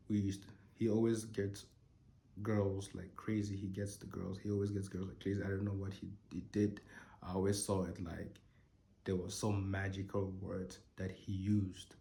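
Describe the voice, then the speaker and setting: A man in his twenties, hoarse from a cold, telling a personal story slowly and thoughtfully to his channel. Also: low-pitched, darkish tone, reverberant. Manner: slow, thoughtful storytelling with pauses. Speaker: channel host. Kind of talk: reflective personal monologue.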